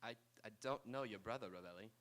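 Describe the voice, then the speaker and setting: A man's voice: lowish voice, fast, fairly bright tone, natural voice. A man playing a bossy, sardonic, self-important lawyer boss who wisecracks in a stage radio comedy. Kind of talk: 1930s comedy radio play acting. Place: auditorium stage. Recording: live stage radio play.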